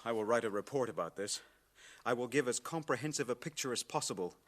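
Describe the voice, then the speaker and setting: Actor playing earnest crusading reporter, animated voice. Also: male voice, medium pitch, fast talker, natural voice. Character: idealistic foreign reporter named Carlos, indignant, earnest. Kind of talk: 1980 radio drama acting.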